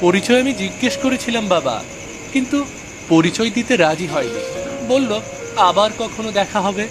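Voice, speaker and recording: Male actor young son, earnest, high voice, very animated intonation, cartoon dialogue, music bed. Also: normal speed, low-quality recording. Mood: casual, reassuring. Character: earnest young village son back from the city.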